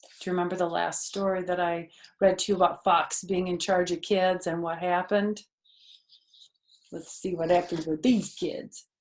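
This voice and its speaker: A woman's voice: on the low side, flat delivery, moderate pace, darkish tone, poor audio. An older woman hosting a children's storytime, chatting and explaining things simply to young listeners on a video call from a porch. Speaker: storytime host reading a picture book to children. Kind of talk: children's read-aloud and chat with listeners. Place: outdoors on a back porch. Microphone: computer or device on a video call.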